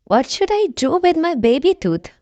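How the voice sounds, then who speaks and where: Woman as little girl piglet, high voice, swooping, curious, excitable, storybook character. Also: normal speed, no room sound, dull audio. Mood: curious, excited.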